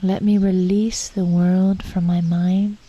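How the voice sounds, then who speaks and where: A woman guides a meditation in a deeply mellow, muted voice. She moves slowly and calmly, with reflective pauses and soft, breathy endings to her phrases. The recording is dull and slightly muffled. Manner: calm, unhurried, reflective, with pauses.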